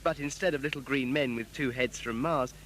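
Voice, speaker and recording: A man's voice: medium pitch, a little fast, a bit bright, slight background noise, close to an everyday voice. A man playing a cheeky young companion in an amateur audio drama, speaking in a playful, whimsical, casual way.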